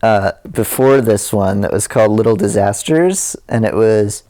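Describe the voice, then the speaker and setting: A man's voice: lowish voice, animated, moderate pace, dark tone. A man guesting on a podcast as a musician, rambling and self-deprecating, laughing often. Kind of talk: casual interview chat.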